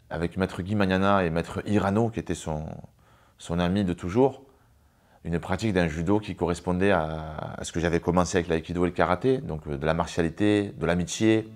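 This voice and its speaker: A man in his thirties speaks in a very deep voice. He answers interview questions with earnest emphasis, and he is a para-judo athlete. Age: 30s.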